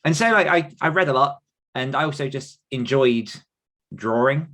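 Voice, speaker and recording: A man's voice: mid-range voice, lively intonation, normal speed, a bit bright. A man in his thirties chatting casually and reflectively on a podcast, rambling and self-deprecating in manner. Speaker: podcast host and author telling his own story.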